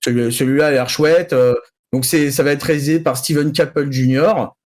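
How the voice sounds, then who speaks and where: A man's voice: fairly high pitch, steady tone, normal speed, a little mellow. A man giving film news as a podcast panelist, casual and colloquial, often repeating himself. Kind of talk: casual chat.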